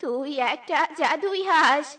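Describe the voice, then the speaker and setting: A woman's voice: sits high, unhurried, bright, forceful tone. Woman putting on a bossy old cartoon bird. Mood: greedy, demanding. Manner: bossy, grasping. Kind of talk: children's cartoon voice acting.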